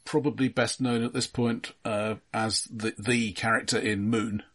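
A man co-hosting a film podcast, casually explaining his observations with halting, repeated starts. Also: fairly low pitch, level tone, fairly bright tone.

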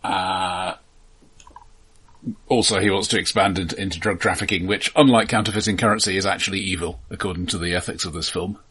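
A man with a low, slightly husky voice talks through a film as a podcast co-host. His phrasing is halting and conversational, with dry asides along the way. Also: steady tone, a bit bright. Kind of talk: casual film discussion.

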